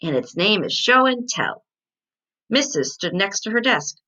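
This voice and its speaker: A woman's voice: mid-range voice, big pitch swings, measured pace, pushed and bright, full character voice, poor audio. A woman voicing a chatty, indignant kindergarten girl from a storybook, with emphatic childish phrasing.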